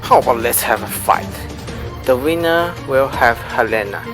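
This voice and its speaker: A man plays a hot-headed young Athenian lover in a radio play. His mid-pitched voice swings widely as he gets impassioned, with faint music behind. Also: expressive, normal speed, a bit bright, natural voice. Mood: combative. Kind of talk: amateur radio drama acting.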